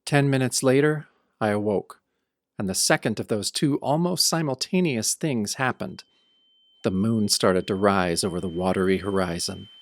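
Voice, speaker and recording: Guy as a grim tough hero narrating an audio drama, lowish voice, brooding and deliberate, hardboiled storytelling. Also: no echo, clean studio sound, close to an everyday voice. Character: brooding tough male hero recounting his nightmare. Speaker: narrator and protagonist. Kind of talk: scripted first-person narration in an audio drama.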